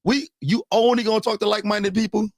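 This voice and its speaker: A man co-hosting a casual podcast, calmly asking the others questions. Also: high-pitched, quick pace, no echo, studio quality. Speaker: podcast co-host. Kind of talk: casual chat.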